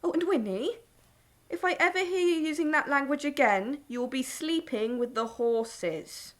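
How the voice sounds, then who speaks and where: Actress doing a strict ww2 farm wife, bitter, highish voice, audio drama. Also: female voice, lively intonation, normal speed. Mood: stern. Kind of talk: audio play acting.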